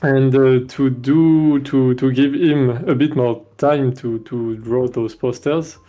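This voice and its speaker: A man's voice: medium pitch, fairly even intonation, slow pace, warm tone, soft background music. A man with a French accent, a game developer chatting casually on a stream, hesitating often with many fillers and restarts. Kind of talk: casual dev stream chat.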